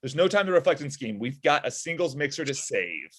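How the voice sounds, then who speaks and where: A man plays a frustrated, sardonic inventor in his thirties. It is an everyday, mid-pitched voice in a comedy table read over a home video call. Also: very animated, brisk, fairly bright tone. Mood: apologetic, urgent. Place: small room at home.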